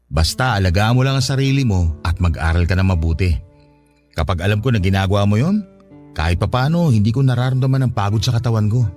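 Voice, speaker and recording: A man's voice: lowish voice, quick pace, darkish tone, faint music behind, lightly acted. A man playing a hardworking, protective older brother who works on a farm, speaking earnestly in a radio drama. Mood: tender, concerned. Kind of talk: Tagalog radio drama dialogue.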